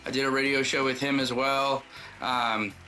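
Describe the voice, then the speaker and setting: Male country songwriter guest, medium register, bright forward tone, rambling conversational storyteller. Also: medium pitch, little pitch movement, moderate pace, low-quality recording. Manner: loose, rambling, informal. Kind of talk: casual interview chat telling personal stories.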